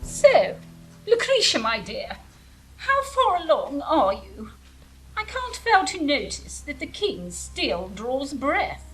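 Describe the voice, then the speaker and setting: A woman's voice: high-pitched, huge pitch swings, takes it slowly, soft background music, full character voice, poor audio. A woman playing a haughty, scheming Queen in a comic radio play, grand, arch and theatrical. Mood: imperious. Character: haughty scheming murderous Queen, vain and imperious.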